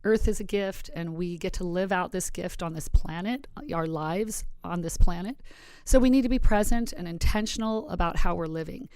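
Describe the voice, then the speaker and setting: A woman from Arizona co-hosting a podcast, chatting in a relaxed and reflective way. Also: fairly low pitch, normal speed, smooth, no echo. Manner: relaxed and reflective, reads a quote aloud. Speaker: podcast co-host. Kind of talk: casual podcast chat.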